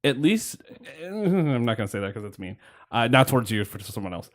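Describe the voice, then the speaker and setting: Man, 30s, podcast co-host, casual chat, excitable, laughs and swears, mid-pitched voice. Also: fairly quick. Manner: excitable, laughs and swears, jumps between thoughts.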